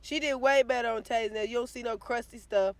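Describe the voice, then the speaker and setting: A woman's voice: a little high, a little fast, no room sound. Indignant young woman ranting in a car. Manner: indignant, animated complaining. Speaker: beauty vlogger. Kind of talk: casual vlog rant to camera. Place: inside a parked car. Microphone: phone camera at arm's length.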